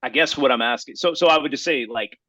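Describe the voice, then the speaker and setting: A man's voice: medium pitch, fast talker, sharp tone, no room sound. An American man hosting a casual conversation, posing questions and arguing his points conversationally. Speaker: podcast host. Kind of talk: casual chat.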